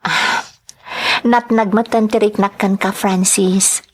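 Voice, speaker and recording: A woman's voice: medium pitch, fairly even intonation, takes it slowly. A woman with an Ilocano accent playing a coy, doubting and tender young woman being courted in a radio drama. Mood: tender, worried.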